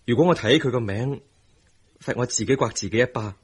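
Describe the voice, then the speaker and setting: An actor with a Hong Kong Cantonese accent playing a tender, remorseful young husband in a radio drama, earnest and emphatic. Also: male voice, medium pitch, a little fast, slightly rough, lightly acted. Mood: tender, eager. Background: music.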